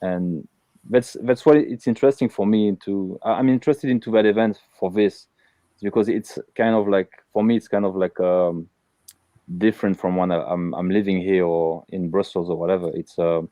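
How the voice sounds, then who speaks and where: A man's voice: low-pitched, fairly even intonation, moderate pace, warm tone, dry recording. A man from Belgium hosting a casual live interview, talking with many fillers and restarts. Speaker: host.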